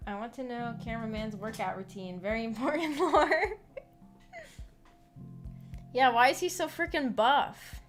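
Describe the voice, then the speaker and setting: A woman's voice: a little high, unhurried, pauses often. A woman hosting a casual livestream, reading out comments and answering them in a hesitant, rambling way that often trails off. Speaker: streamer hosting a visual novel book club. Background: background music.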